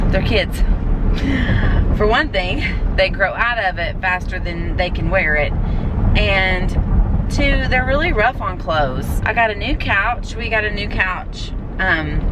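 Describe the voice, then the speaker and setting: A woman's voice: on the low side, very noisy. Chatty Southern woman vlogging from a car. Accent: Southern US (Alabama). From Alabama. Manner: rambling and chatty, many fillers. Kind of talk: casual chat to camera.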